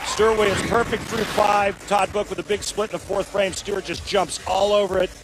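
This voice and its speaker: High-voiced bowling color analyst. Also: male voice, flat delivery, normal speed. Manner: explanatory, folksy comparisons. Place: bowling center broadcast booth. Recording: crowd and arena noise. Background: crowd noise.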